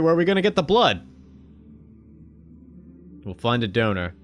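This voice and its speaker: A man hosting a let's-play video, reading a game's text aloud and joking, swearing casually and jumping into sudden loud high-pitched exclamations. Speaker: gaming video host.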